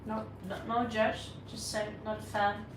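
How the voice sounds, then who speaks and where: A woman's voice: medium pitch, flat delivery, unhurried. Norwegian accent lady doing a tarot stream, blunt and sarcastic, kinda far from the mic, roomy and noisy. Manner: blunt, scolding, sarcastic asides to chat. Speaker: tarot reader livestream host. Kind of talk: casual live-stream chat answering viewers. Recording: echoey room, mic set back, audible noise.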